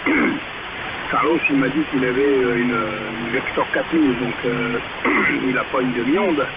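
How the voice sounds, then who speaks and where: Man, mid-range pitch, casual amateur radio talk, muffled transmission, loud hiss. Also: unhurried.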